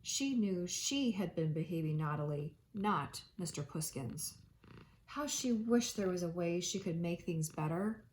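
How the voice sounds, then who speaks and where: A woman teacher reading a children's storybook aloud, with expressive delivery and dramatic emphasis. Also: fairly low pitch, unhurried, slight background noise, poor audio.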